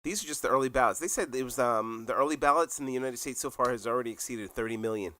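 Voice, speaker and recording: A man's voice: mid-range voice, fast, pushed and bright. A middle-aged man co-hosting a casual podcast, rambling with fillers and laughing often. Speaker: podcast co-host. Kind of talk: casual chat.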